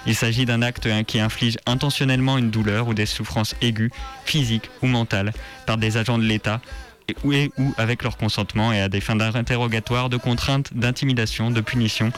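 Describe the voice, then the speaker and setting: A man's voice: mid-range voice, fairly even intonation, fairly quick, soft background music. A man with a French accent presenting as a contributor on a radio show. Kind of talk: scripted narration. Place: radio studio.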